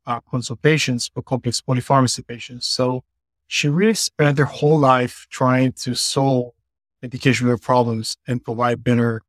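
A man's voice: mid-range voice, little pitch movement, moderate pace, dry recording. A man from Israel, a company founder, explaining his work earnestly with many "uh" fillers over a remote call. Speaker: company CEO guest. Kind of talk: podcast interview.

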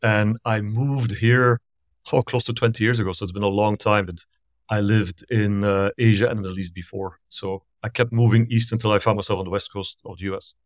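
A middle-aged man from Belgium, a startup founder explaining his business in a measured, thorough way during a remote podcast interview. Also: fairly low pitch, fairly even intonation, no room sound, studio quality. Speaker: guest, startup founder and CEO.

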